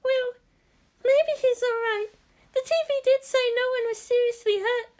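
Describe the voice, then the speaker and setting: An anxious young schoolgirl, speaking far up in pitch. She is a proper, earnest class representative in a story, polite and easily worried. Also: fairly even intonation, moderate pace, a little mellow. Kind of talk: fan fiction character reading.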